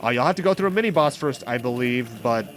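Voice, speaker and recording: A man's voice: mid-range voice, expressive, fast talker, slight background noise. A man giving casual, rambling let's play commentary, explaining things and correcting himself as he goes. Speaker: host of a video game playthrough series. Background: game music.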